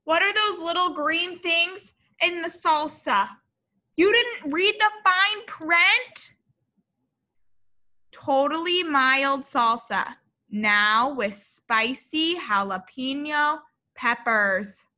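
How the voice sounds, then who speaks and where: A young woman reads a children's story in a high, bright voice. She takes her time with the phrases, exaggerating them expressively and speaking directly to young listeners like a teacher. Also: lively intonation, drawn-out words. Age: young adult. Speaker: teacher reading a picture book to children. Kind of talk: animated children's story read-aloud. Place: classroom, indoors.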